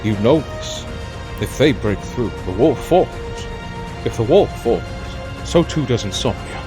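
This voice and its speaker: A man playing a dignified, fatherly king in an audio drama, speaking in a formal, measured way. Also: medium pitch, expressive, over music, natural voice. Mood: calm, resolute. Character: dignified, resolute aging king, calm and fatherly. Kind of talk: fan audio drama acting.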